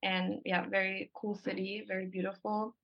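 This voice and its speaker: A woman's voice: mid-range voice, little pitch movement, moderate pace, gravelly, husky, muffled recording. A young adult woman born in Germany, a guest talking in a casual conversation, thoughtful and hesitant with many fillers.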